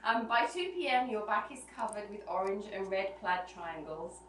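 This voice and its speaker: A woman's voice: mid-range voice, moderate pace, fairly bright tone. A woman reading a story aloud in a live storytelling style, recorded in a room at a small gathering. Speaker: reader. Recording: noisy roomy live recording, distant mic.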